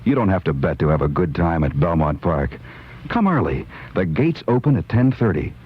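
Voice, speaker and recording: A man's voice: low voice, big pitch swings, normal speed, husky. An American man reading a scripted radio advertisement as a commercial announcer. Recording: dull lo-fi 1970s AM radio.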